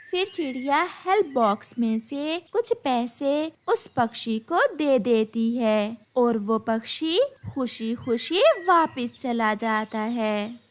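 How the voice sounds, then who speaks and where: A woman narrating a children's cartoon story in slow, clear storytelling. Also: a little high, expressive.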